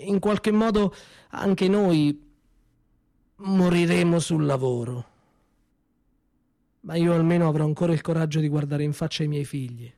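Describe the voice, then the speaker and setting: An actor playing a bitter, defiant secretary in a radio play, phrasing his monologue in a measured, deliberate way with dramatic pauses. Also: a little high, dark tone, dry recording, studio quality. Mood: grave, defiant.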